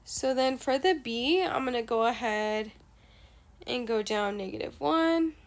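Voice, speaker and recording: Young female teacher, a bit stuffy and nasal, higher voice, patient walkthrough with fillers. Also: measured pace. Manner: patient step-by-step explaining, many fillers. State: nasal from allergies. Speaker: math teacher. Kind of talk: explaining a math lesson.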